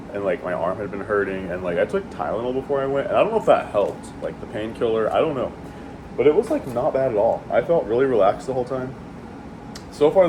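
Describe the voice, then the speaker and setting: A man chatting casually while he eats, rambling and swearing freely, with lots of fillers. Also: medium pitch. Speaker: vlogger eating on camera. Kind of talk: casual chat while eating. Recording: steady air conditioning hum.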